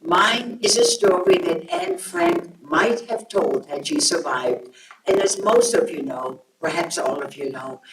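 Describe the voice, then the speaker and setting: An older woman from Germany, giving a measured, formal talk to students in a school hall, picked up by a distant room mic. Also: sits low. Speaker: guest speaker. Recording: echoey hall from far off, noisy.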